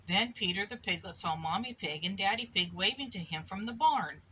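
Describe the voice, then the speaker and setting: A woman reading a picture book aloud expressively to a child, pausing for little asides. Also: mid-range voice, lively intonation, sharp tone, a little hiss or hum. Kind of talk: animated storybook read-aloud to a child.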